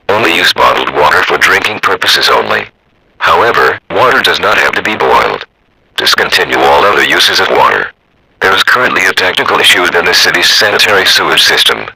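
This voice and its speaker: Gravelly, robotic low-voiced male alert announcer. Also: pushed and bright, poor audio. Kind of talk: scripted emergency broadcast announcement.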